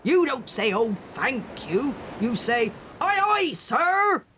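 A woman puts on a hearty storybook sea captain. The delivery is bossy and commanding, pitched fairly high with big swoops, over faint noise. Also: expressive, slow pace. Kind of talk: children's storybook character voice.

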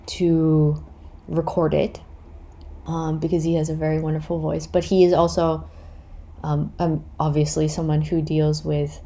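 A thirty-year-old woman with a Canadian accent hosts a casual solo podcast. Her voice sits low and soft-edged, with plenty of vocal fry. She speaks candidly and reflectively, hesitating as she works out her answers. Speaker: podcast host.

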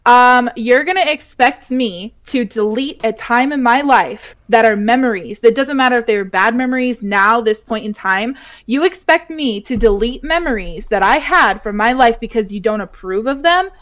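A woman's voice: a little high, moderate pace, a bit bright. A young woman co-hosting a podcast in casual chat, blunt and profane, full of "like" and "you know". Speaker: podcast co-host.

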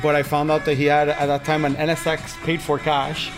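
A man's voice: on the high side, monotone, brisk. A middle-aged man from Miami, a podcast guest talking casually and rambling with many restarts. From Miami, Florida. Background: music.

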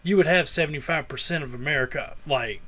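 A man's voice: on the high side, fast, fairly bright tone. Crude, opinionated man podcasting. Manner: crude, opinionated, swears freely. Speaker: podcast host. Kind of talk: casual podcast chat.

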